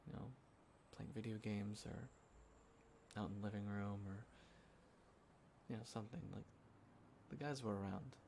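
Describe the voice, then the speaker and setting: A man acting as a sentimental young guy catching up with a close friend, talking in a casual, halting, soft-hearted ramble for an intimate roleplay audio. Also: on the low side. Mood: wistful, tender. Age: young adult. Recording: clear background ambience noise. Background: quiet city ambience.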